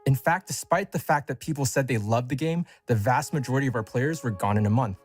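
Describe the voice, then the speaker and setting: A man's voice: mid-range voice, a little fast, husky, soft background music. A man reading scripted retrospective narration as a game developer looking back on a project.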